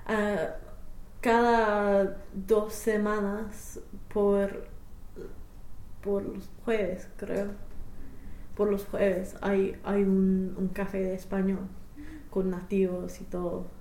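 Slow, hesitant young woman learner. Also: mid-range voice, completely flat delivery, a little mellow, reverberant, poor audio. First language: English. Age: young adult. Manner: hesitant, many fillers and restarts, laughs shyly. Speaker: language learner interviewee. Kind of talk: spoken language interview.

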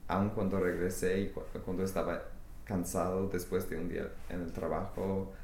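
A young man whose first language is English, answering interview questions with many pauses, fillers and restarts. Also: sits low, level tone, darkish tone. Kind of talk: research interview. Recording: echoey room, faint hiss.

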